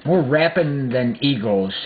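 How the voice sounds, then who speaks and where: A man giving a theatrical storybook recitation of verse, with drawn-out phrasing and exaggerated emphasis, on a tinny, narrow recording. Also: medium pitch, lively intonation, background noise. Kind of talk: theatrical poetry recitation of a Christmas poem. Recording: tinny, phone-like narrow sound.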